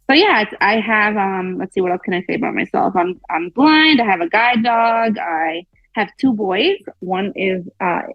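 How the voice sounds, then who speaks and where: A woman's voice: medium pitch, no room sound. A woman in her thirties, a guest teacher, talking casually and laughing often on a podcast. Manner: chatty, laughs often, says "like" a lot. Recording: dull lo-fi sound.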